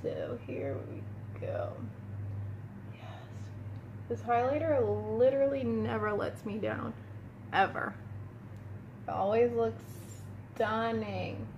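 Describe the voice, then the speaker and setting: A woman's voice: on the high side, steady tone, takes it slowly, long pauses, darkish tone, highs cut off, a little hiss or hum. A woman giving a casual makeup tutorial at home, chatting to viewers as she applies her products, sniffling a little. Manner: chatty, talks while applying makeup, addresses viewers as "you guys". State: sniffling from a cold room. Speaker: beauty vlogger. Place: indoor room at home.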